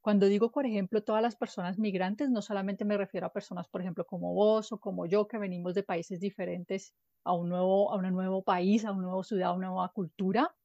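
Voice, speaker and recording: A woman from Colombia, a guest from a nonprofit, answering interview questions in a measured, explanatory way with fillers and restarts. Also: mid-range voice, steady tone, mellow, no room sound, lo-fi sound. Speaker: guest from an NGO.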